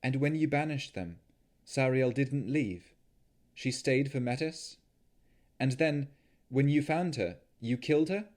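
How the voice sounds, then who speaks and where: A man's voice: medium pitch, unhurried, darkish tone, pitched above the performer's own voice, close to an everyday voice. Man voicing an earnest young woman warlock. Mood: pressing.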